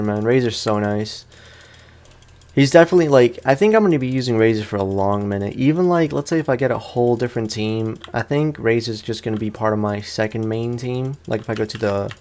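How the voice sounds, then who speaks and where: A man's voice: lowish voice, fairly quick, darkish tone, clean tone. A man hosting a let's play, chatting casually and playfully in slangy speech as he comments on the game. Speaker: gamer, let's play host.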